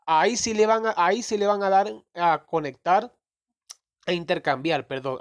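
A man casually talking through a phone screen recording, rambling and correcting himself as he explains a crypto game. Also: high voice. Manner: rambling, self-correcting, mild swearing. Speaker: crypto gaming youtuber.

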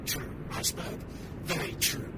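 A man's voice: moderate pace, sharp tone, a little hiss or hum, lightly acted. Man playing a whispery, cold villain lord. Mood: cold command. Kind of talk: fantasy audio drama acting.

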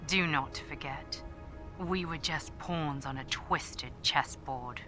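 An actress playing a soldier reading her final recorded log in a grave, measured way. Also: low voice. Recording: recorded log over game music.

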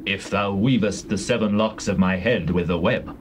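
A low male voice plays a proud, doomed warrior of ancient Israel , acted by a man. The delivery is solemn and theatrical, as in scripture audio drama. Clear noise runs through the old cassette recording. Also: moderate pace, lightly acted. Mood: teasing confidence. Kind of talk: dramatized King James Bible reading.

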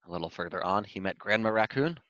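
A man's voice: on the low side, lively intonation, quick pace, sharp tone, dry recording, dull audio. A man reading a children's storybook aloud in scripted narration. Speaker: storybook reader.

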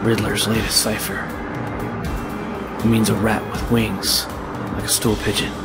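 Man playing a low, flat, brooding vigilante. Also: a little slow, loud music behind the voice, close to an everyday voice. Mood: guarded. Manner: grim, clipped, guarded. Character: brooding, terse masked male vigilante detective. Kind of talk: audio drama acting and narration.

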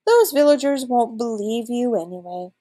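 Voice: medium pitch, expressive, moderate pace, warm tone, natural voice. A woman voicing a cunning, grumbling old male wolf in a storybook. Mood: sly.

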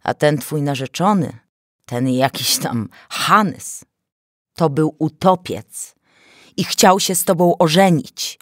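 A woman's voice: low voice, slow pace, dry recording, studio quality. A woman voicing a protective, shrewd village mother in a folk tale, firm and instructive. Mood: knowing, explaining.

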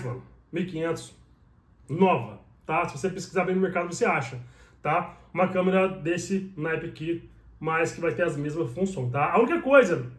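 A man in his thirties with a Brazilian Portuguese accent, chatting to the camera about his riding gear and checking in with the viewer after nearly every sentence. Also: high voice. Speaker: motovlogger. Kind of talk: casual gear tutorial to camera. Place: small bedroom, indoors. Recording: echoey bare room.

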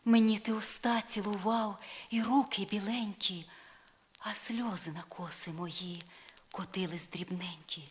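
A woman's voice: medium pitch, fairly even intonation, a little slow, natural voice. Woman acting a plaintive young maiden, crackly vinyl. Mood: tender reproach. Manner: plaintive, yearning, lyrical delivery. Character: sorrowful, pleading young maiden in love. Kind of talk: dramatic poetry recitation. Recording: crackly, clicking vinyl record.

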